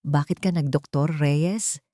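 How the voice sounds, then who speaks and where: A woman's voice: low voice, measured pace, dark tone, dry recording, clean studio sound. A woman voicing a reserved, commanding male senior doctor in an audio drama, speaking tersely. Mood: curious. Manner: terse, authoritative. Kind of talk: audio drama character dialogue.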